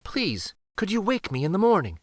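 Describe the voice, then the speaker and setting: A man's voice: high voice, wildly swooping pitch, normal speed, no room sound, clean studio sound, voice pushed up, exaggerated voice. A man voicing a polite, brave young peasant woman in a fairytale read aloud. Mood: polite, curious. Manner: polite, pleading.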